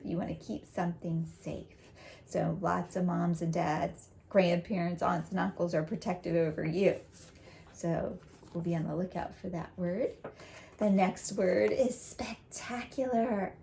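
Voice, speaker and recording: Creaky-voiced woman teaching young children. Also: mid-range voice, dark tone, a little hiss or hum. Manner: encouraging, explains simply with check-in questions. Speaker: teacher reading aloud to young students. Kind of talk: read-aloud lesson for children.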